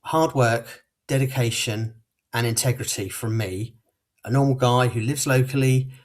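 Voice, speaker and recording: A man's voice: medium pitch, fairly even intonation, moderate pace. A man from Portsmouth giving a firm, punchy closing campaign speech as a local candidate, in short declarative sentences. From Portsmouth, England.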